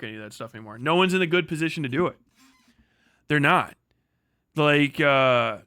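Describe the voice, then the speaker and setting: A man's voice: medium pitch, fairly bright tone, no echo, clean studio sound. A man livestreaming a casual, rambling rant, full of profanity and slang, often stammering over repeated words. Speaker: live streamer, online commentator.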